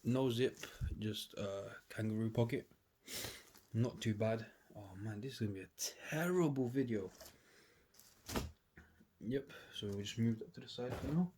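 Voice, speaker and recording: Sniffly laid-back man, low voice. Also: measured pace. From United Kingdom. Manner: laid-back, chatty, slangy. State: sniffly with a cold. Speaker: vlogger. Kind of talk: casual unboxing chat to camera. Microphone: camera on a tripod. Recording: clear background noise.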